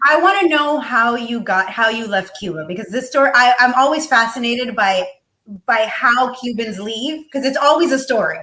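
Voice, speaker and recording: A woman's voice: fairly high pitch, animated, normal speed, sharp tone, reverberant, low-quality recording. A woman co-hosting a podcast in a casual, curious way, asking her guest questions. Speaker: podcast co-host.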